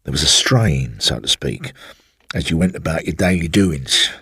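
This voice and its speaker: A man's voice: extremely low pitch, lively intonation, normal speed, pushed and bright, husky, no room sound, studio quality, voice pushed down, heavily acted. A man voicing a garrulous old railway porter who rambles confidingly and keeps addressing his listener as sir. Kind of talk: audiobook storytelling.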